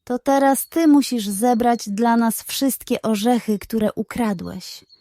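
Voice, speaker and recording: Feisty little storybook squirrels, acted by a woman, high-pitched and indignant. They are vengeful guardians of their hoard. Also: slow pace, dark tone, dry recording, clean studio sound. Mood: menacing.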